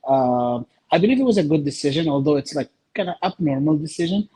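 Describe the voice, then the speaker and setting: A man with an Egyptian accent giving casual interview answers in short, halting phrases with many pauses. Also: on the high side, animated, mellow, husky, rough recording. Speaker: interview guest, filmmaker.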